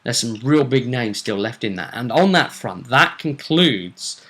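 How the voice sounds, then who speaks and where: A man co-hosting a gaming vidcast, giving a casual, measured recap and commentary in slightly formal wording. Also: mid-range voice, lively intonation, pushed and bright. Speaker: co-host of a tabletop roleplaying vidcast.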